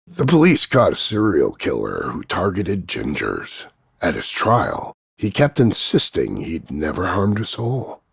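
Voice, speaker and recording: A man voicing a cocky, crude macho action hero, gravelly and husky, delivering smug deadpan one-liners in a slow drawl of punchlines. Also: low voice, no echo, studio quality, heavily acted. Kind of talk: voice-over demo of insults and one-liners.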